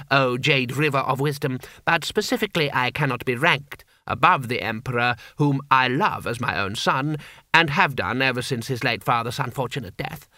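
A man voicing an obsequious, scheming older imperial vizier with florid, unctuous flattery, in an audiobook story. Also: mid-range voice, no room sound, clean studio sound. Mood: fawning slyness.

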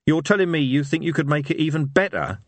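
A man voicing a small, gruff, sentimental villain, a dark lord in an audiobook, with a hoarse voice. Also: on the high side, animated, quick pace, dry recording, voice pushed up. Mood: gruff, moved. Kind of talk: audiobook character voice.

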